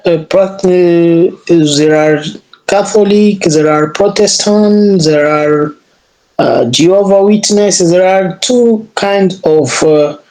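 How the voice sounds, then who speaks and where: A man's voice: high voice, a little mellow, poor audio. A man with an East or Central African accent and Swahili as his first language, chatting casually in English as a learner, searching for words and repeating phrases. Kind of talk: casual conversation practice.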